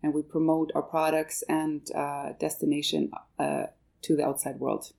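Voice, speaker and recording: A woman who grew up in Canada and whose first language is German, speaking low with a gravelly fry. Her tone is dark and mellow. She answers at length and hesitantly, with many fillers and restarts. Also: flat delivery, normal speed. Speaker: guest, manager of a marketing project. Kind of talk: interview answers.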